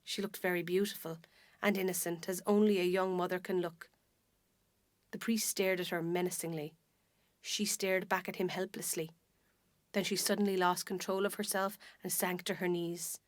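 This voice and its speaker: A woman's voice: on the low side, level tone, normal speed, dry recording. Irish woman narrator, close and dry. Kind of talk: dramatic literary story narration.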